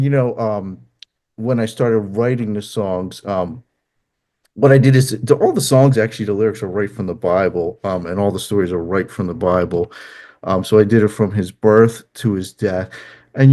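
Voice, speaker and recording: A middle-aged man with a New Jersey accent and a lowish voice, answering interview questions in a relaxed, conversational way. He pauses often with ums and restarts his sentences as he explains things. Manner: hesitant, many ums and restarts. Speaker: interview guest, composer. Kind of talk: casual interview talk.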